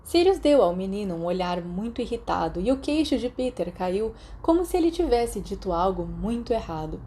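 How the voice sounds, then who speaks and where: Mellow Brazilian woman narrating fiction. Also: mid-range voice, very animated, moderate pace, slight background noise. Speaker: podfic narrator. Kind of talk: scripted narration, fiction audiobook.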